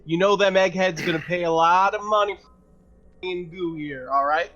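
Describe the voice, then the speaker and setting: A man's voice: sits high, normal speed, a bit bright. A man playing a tabletop game, speaking in a casual, folksy way. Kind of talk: casual in-character table talk. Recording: slightly dull audio, faint music behind.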